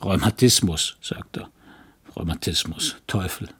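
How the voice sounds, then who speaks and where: A man plays a good-natured, plodding small-town doctor who rambles on and grumbles mildly. His voice is low and husky. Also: moderate pace, darkish tone. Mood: gossipy scoffing. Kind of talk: audiobook reading.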